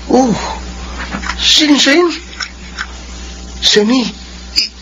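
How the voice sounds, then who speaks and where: A young boyfriend in his twenties in a radio drama, bashful and dependent. The voice is very high for a man, with an emotive, boyish delivery. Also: extremely slow, background noise, exaggerated voice. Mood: bashful, delighted. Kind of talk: Filipino radio drama acting.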